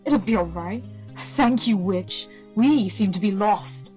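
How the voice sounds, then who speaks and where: A woman's voice: medium pitch, expressive, slow pace, dark tone, faint music behind. A woman voicing a bouncy, impatient boy puppet in a children's puppet play. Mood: curious.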